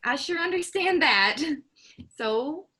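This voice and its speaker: A woman's voice: sits high, lively intonation, slow, pushed and bright, full character voice. A woman reading the part of a wry, practical rural wife in a staged play reading. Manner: wry, chatty, laughs easily.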